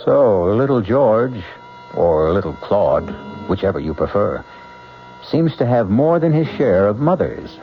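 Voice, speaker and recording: Casting: deep male mystery host, wry and measured scripted narration, muffled vintage radio sound. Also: sing-song, faint music behind. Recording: dull old radio recording.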